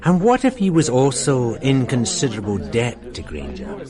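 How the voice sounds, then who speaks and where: An actor playing a calm, shrewd male police sergeant in a radio drama, explaining things in a measured way. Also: medium pitch, a crowd in the background.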